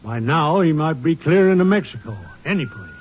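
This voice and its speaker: Folksy, wary rancher. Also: male voice, fairly high pitch, animated, fairly quick. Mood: folksy, unsure. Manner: hesitant, colloquial. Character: folksy, wary male Texas ranch owner. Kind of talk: 1950s western radio drama acting. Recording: muffled, noisy vintage radio recording.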